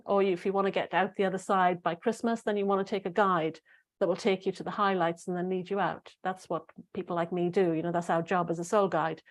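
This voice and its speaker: Slightly croaky lowish woman host, musing and rambling to her listeners. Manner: musing, rambling, with frequent fillers and restarts. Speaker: spiritual podcast host. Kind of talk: casual solo talk to listeners.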